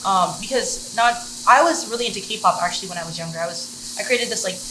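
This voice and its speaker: A woman's voice: mid-range voice, fast talker. A young American woman telling personal stories casually and earnestly, peppering her sentences with "like". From Middleburg, Florida, United States. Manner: earnest, heavy use of "like". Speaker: guest, social media content creator. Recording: roomy, noisy room with sizzling food.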